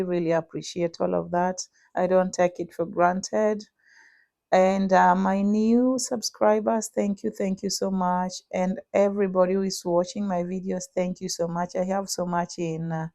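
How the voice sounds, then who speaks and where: A young woman with a Kenyan accent talking through her cooking in a casual vlog, pausing often with "uh". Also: on the low side, dark tone, no echo, clean studio sound. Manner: unhurried, many "uh" pauses while cooking. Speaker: vlogger.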